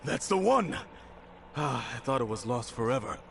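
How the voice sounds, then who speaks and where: Gravelly polite innkeeper. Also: male voice, medium pitch, sing-song, normal speed, background noise. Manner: courteous and chuckling. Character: rich polite middle-aged male innkeeper. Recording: game music in background.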